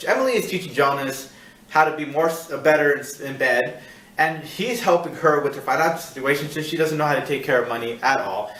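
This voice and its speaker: A man hosting a casual film review, talking in a rambling, halting way and repeating himself as he searches for words. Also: on the high side, fairly bright tone. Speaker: film review show host. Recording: echoey room, distant mic.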